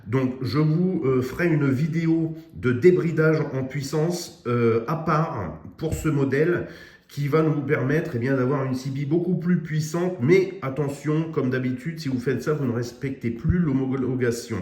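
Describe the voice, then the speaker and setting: A man's voice: mid-range voice, normal speed, darkish tone, reverberant. A man giving a casual product review of a radio, with lots of fillers and restarts. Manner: hesitant, many fillers and restarts.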